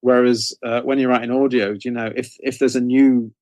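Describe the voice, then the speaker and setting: A man's voice: medium pitch, flat delivery, moderate pace, a little mellow, dry recording. Male author as interview guest: casual, mid-range voice, circles back and rephrases as he explains, very little room sound. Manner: hesitant, self-correcting, repeats phrases. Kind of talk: casual conversational interview answers.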